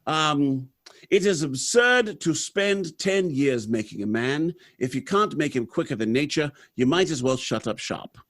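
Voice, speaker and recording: A man's voice: fairly high pitch, animated, unhurried, a bit bright. An actor playing a proud, unsentimental robot factory manager in an old-time radio drama, theatrical and confident as he explains things. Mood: amused, lecturing. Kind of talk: live recreation of 1930s radio drama.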